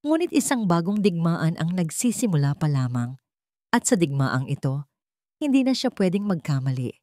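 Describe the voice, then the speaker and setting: A woman's voice: lowish voice, big pitch swings, moderate pace, dark tone, dry recording, clean studio sound. A woman narrating a scripted dramatic story as its storyteller.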